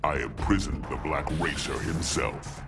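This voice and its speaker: An actor playing an imperious, menacing supervillain who speaks in a formal, ominous, domineering way with a gravelly voice. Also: male voice, unhurried, full character voice. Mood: cold, commanding. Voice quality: very deep, gravelly. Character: imperious menacing supervillain conqueror, cold and commanding. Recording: music behind the voice.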